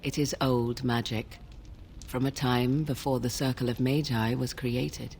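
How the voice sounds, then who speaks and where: An actress playing a mysterious, guarded young sorceress in a fantasy game, speaking in a cool, formal, archaic manner. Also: female voice, very deep voice, fairly even intonation, a little slow, darkish tone, slight background noise. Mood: cryptic, measured. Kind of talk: fantasy video game dialogue.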